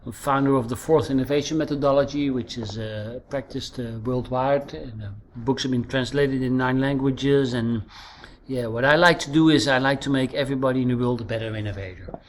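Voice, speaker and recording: A man's voice: medium pitch, fairly even intonation, fairly quick. A middle-aged man with a Dutch accent explaining things conversationally as an interview guest, emphatically repeating key phrases. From Netherlands. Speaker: interview guest, innovation trainer and author. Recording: clearly noisy recording with ambience.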